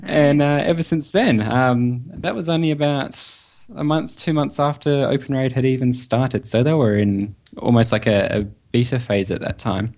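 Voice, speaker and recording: A man with an Australian accent and a medium-pitched voice. He is a podcast guest, answering questions in a casual, conversational way. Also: a little slow, darkish tone. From Perth, Western Australia. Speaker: podcast guest, website developer. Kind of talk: casual interview chat.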